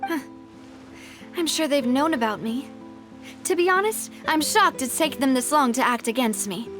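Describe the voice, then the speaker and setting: A woman's voice: sits high, expressive, normal speed, over music. A woman playing a proud, determined young noblewoman in a video game, with pointed, polished, theatrical phrasing. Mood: probing.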